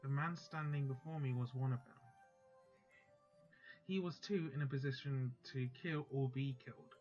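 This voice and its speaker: A man's voice: mid-range voice, fairly even intonation, a little mellow, dull audio. Young man reading a visual novel aloud. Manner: halting read-aloud, stops to check pronunciation. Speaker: gaming YouTuber. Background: faint background music.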